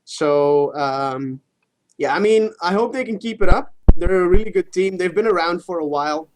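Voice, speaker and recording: A gaming stream co-host talks casually about esports. The voice sits at a middling pitch, and the manner is informal, with casual swearing. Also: moderate pace, background noise.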